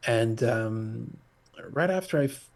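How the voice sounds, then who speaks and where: A middle-aged man from Portugal, a musician guest talking in a halting, casual way full of fillers and restarts over a video call. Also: mid-range voice, expressive. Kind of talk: casual interview chat.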